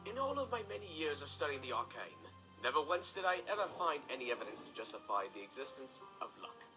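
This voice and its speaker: An actor playing a stern, older, aristocratic father and magic researcher in an audio drama, speaking formally and deliberately. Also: medium pitch, sing-song, lightly acted, low-quality recording. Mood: solemn, musing. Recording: music behind the voice.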